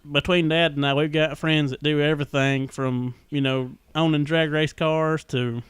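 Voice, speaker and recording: A man's voice: a little high, fairly even intonation, moderate pace, clear, resonant tone, no echo. A man with a Southern US accent chatting casually as a podcast host, telling things in a folksy, rambling way. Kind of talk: casual chat.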